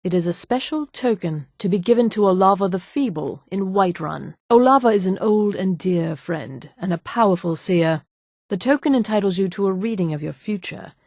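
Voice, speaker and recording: A woman playing a poised, coolly menacing elf assassin in a fantasy video game, speaking with formal, measured, deliberate diction. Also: medium pitch, animated, a little mellow, no echo, clean studio sound. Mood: measured briefing.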